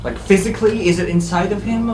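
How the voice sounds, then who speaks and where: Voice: mid-range voice, steady tone, fast, far from the mic, natural voice. A player voicing an earnest, direct spellcasting student in a tabletop roleplay. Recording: roomy sound with music behind.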